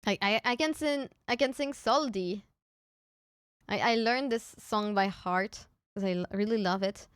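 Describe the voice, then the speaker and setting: A woman's voice: medium pitch, measured pace, clean tone, no echo, studio quality. A young woman from France, chatting casually with viewers on a live stream, often stammering and restarting her words with little giggles. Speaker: VTuber streamer.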